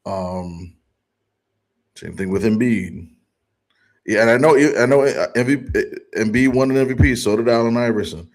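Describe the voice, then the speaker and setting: A man co-hosting a casual sports podcast, thoughtful and halting, with many pauses and restarts. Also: mid-range voice, poor audio. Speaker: podcast co-host.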